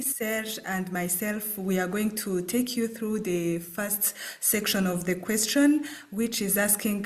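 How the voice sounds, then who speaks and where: A woman from Rwanda giving a class presentation, speaking with frequent filler sounds. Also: medium pitch. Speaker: student presenter.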